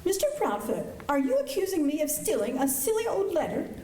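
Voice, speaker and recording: Woman playing a coy, high-voiced frontier flirt, echoey. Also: sing-song, a little fast, warm tone. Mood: coyly defiant. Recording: echoey auditorium with slight noise.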